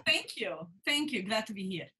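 A middle-aged woman with a Lebanese accent, answering as an interview guest on a video call and pausing to search for words. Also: medium pitch, very animated, sharp tone, breathy edge. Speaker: guest, university instructor. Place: book-lined room indoors, video call.

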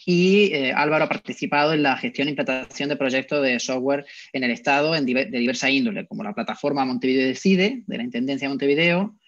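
A man in his twenties moderating an online panel and introducing speakers, a little halting, over video-call audio. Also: mid-range voice, a bit bright, muffled recording. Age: 20s. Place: indoor room, video call. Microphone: earpiece headset on video call.

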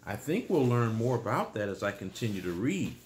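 A man in his sixties reading a children's bedtime story aloud in a small room, pausing to explain things simply and patiently. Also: lowish voice, sing-song, reverberant, a little hiss or hum.

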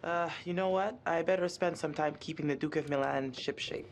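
A woman's voice: low voice, brisk, slight background noise. Young female boat captain, dry, level-headed, unimpressed. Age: young adult.

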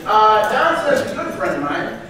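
A man's voice: high-pitched, sing-song, a little fast, background noise. A young man standing before a seated crowd, telling self-mocking jokes at a roast in a large banquet hall, picked up by a far camera. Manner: self-mocking joke delivery. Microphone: far room camera.

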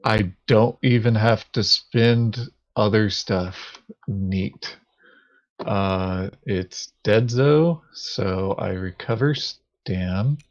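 Very slow-talking, low-voiced man at a game. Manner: halting, thinking aloud. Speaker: tabletop game player. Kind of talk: casual gameplay chat. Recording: dull lo-fi voice chat audio.

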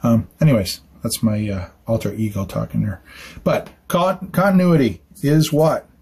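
A man in his fifties explains a building detail in a relaxed, chatty way. He thinks out loud and tosses in "right?" as he goes. His voice is mid-pitched with a husky edge, recorded in a small curtained room. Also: normal speed. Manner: chatty and informal, thinking aloud with fillers and tag questions. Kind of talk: casual technical explainer.

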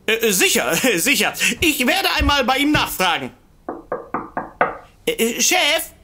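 A flustered eager-to-please secretary in a comedy audio play, high swoopy voice. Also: female voice, big pitch swings, slow, background noise. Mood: flustered, obliging. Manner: flustered, hesitant, giggly. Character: nervous, obliging female office assistant at a brokerage. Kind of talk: comedic audio drama acting.

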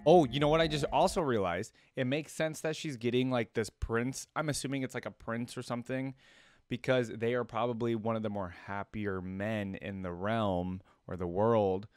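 A man's voice: medium pitch, fairly even intonation, moderate pace, a little mellow, clean tone, no room sound. Casual young man, dry close sound. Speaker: reaction video host. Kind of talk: casual reaction commentary. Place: small indoor room at a gaming setup. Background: faint music.

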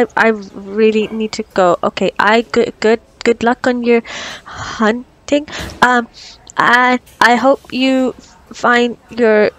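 A woman playing an anxious, socially awkward young woman with a crush in an ASMR roleplay, stammering and rambling nervously. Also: sits high. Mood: flustered, rushed. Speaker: audio roleplay performer. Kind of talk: ASMR girlfriend roleplay acting.

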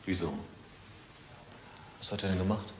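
Actor as a guarded, low-voiced convict. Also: male voice, fairly even intonation, moderate pace, close to an everyday voice. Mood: defensive. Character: guarded, terse male convict, uneasy. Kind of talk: German crime radio play acting. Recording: echoey, loud background noise.

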